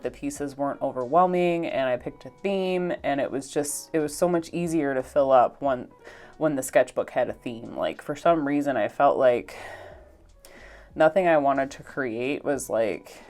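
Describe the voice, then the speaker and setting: A woman's voice: sits low, a little mellow. A young woman with a congested, stuffed-up voice, chatting casually in a rambling way with many fillers and little laughs. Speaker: art vlogger. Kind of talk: casual voice-over while painting.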